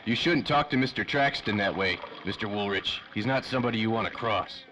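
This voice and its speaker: A man's voice: fairly low pitch, fairly quick, sharp tone. An actor playing a loyal, disdainful henchman with stiff, taunting tough talk in a 1930s radio noir. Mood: stern, taunting. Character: loyal, disdainful male henchman of a crime boss.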